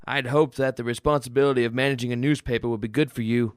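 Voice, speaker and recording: A man's voice: medium pitch, fairly even intonation, quick pace, clean tone, no room sound, studio quality, close to an everyday voice. An actor playing a brusque, demanding father of a grown son in an old-time radio drama, blustering as he questions. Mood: probing, incredulous.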